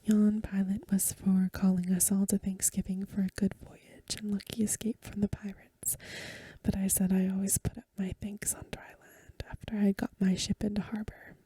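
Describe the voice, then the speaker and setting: A woman softly whispering a story for ASMR in a breathy voice, with gentle, careful phrasing, close to the microphone. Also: mid-range voice, flat delivery, moderate pace, dry recording. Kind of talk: soft-spoken ASMR story reading.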